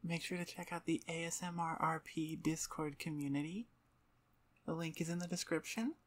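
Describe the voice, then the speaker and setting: A woman's voice: low voice, fairly even intonation, moderate pace. A woman chatting informally and self-deprecatingly as channel host, rambling casually close to her microphone. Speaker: ASMR voice performer, channel host. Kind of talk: casual rambling outro chat. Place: on the floor in front of a computer desk. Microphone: microphone about twelve inches away at eye level.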